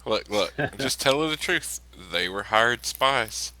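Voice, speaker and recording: A man's voice: mid-range voice, lively intonation, slow, sharp tone, slight background noise, low-quality recording. A man chatting casually as a player in a tabletop role-playing game.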